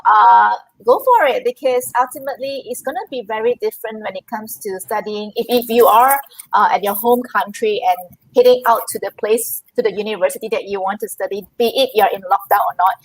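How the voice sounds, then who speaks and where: A woman's voice: mid-range voice, rough recording. A woman university representative giving earnest, encouraging advice in a live online Q&A over a video call.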